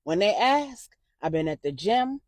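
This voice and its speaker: Lady storyteller doing a young street guy, casual n sure of himself, lively, dry recording. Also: mid-range voice, measured pace, dry recording. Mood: casual, assured. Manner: casual, assured, slangy. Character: streetwise young man, loyal crew member.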